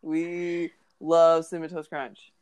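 Podcast co-host, woman, congested, low voice, dry jokes. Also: flat delivery, slow pace, darkish tone. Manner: joking, wry asides. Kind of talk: casual chat.

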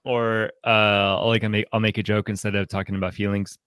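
Male podcast co-host, low register, reflective and halting book discussion, very dry recording. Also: little pitch movement, fairly bright tone, smooth, dry recording. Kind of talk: conversational book commentary.

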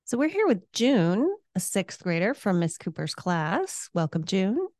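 A woman's voice: mid-range voice, very animated, a little slow, darkish tone, dry recording, studio quality. A woman co-hosting a school podcast in a conversational interview style.

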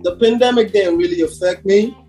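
A man's voice: high voice, a little fast, mellow, faint music behind. A man from Haiti talking casually as a hip hop artist guest on a podcast, in slangy, repetitive, emphatic phrases.

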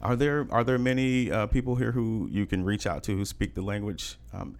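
A woman's voice: rumbling low, normal speed, darkish tone. A woman hosting a podcast interview, encouraging her guest with frequent short affirmations. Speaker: podcast host. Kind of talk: casual interview.

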